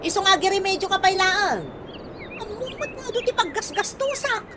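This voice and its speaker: A woman playing a sharp-tongued, debt-ridden wife in a radio comedy-drama, argumentative, with an Ilocano accent. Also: very high voice, expressive, normal speed. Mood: scolding. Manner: nagging, argumentative. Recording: clearly noisy audio.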